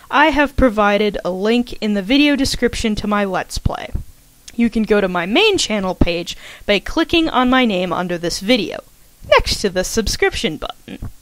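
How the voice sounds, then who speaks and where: A woman speaks as an online channel host in an animated voice that moves freely up and down. She delivers a prepared introduction in an informal, chatty way, with little asides to her listeners. The sound is close and dry. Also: medium pitch, dry recording. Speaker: host of a gaming channel, fan fiction writer introducing a podfic.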